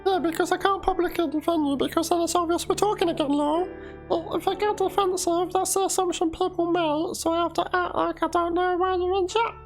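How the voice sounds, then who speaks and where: A woman with an extremely high-pitched voice reads out written chat replies in a dramatic reading. Faint instrumental music runs behind her. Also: steady tone, brisk. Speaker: reader of one side of a chat exchange. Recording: music under the voice. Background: instrumental background music.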